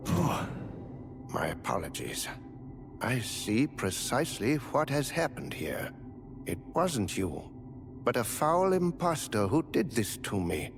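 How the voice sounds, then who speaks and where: An actor playing a courteous, theatrical gentleman adventurer in a dark fantasy video game, speaking in formal, flowery, archaic phrases. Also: medium pitch, very animated, takes it slowly, gravelly, music in the background, heavily acted. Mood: apologetic. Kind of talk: video game character dialogue.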